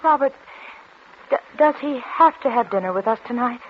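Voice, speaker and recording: An actress playing a fragile, anxious, obedient wife, hesitant and placating, in 1940s radio style. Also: female voice, on the high side, animated, moderate pace, lightly acted. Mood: anxious pleading. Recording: dull, lightly noisy vintage radio recording.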